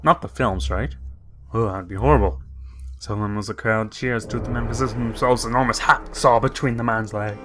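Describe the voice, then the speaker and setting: A man's voice: fairly low pitch, steady tone, a little fast, background noise. A man giving let's play commentary, reading game text aloud and adding jokey, rambling asides. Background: game music.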